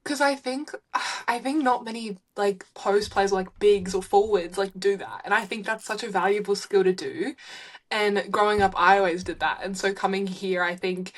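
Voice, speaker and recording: A woman's voice: medium pitch, moderate pace. A young woman from Hungary, a college basketball player, chatting as a guest in a friendly, bubbly way with lots of "like". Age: young adult. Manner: bubbly and friendly, with frequent "like" and laughs. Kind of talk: casual interview.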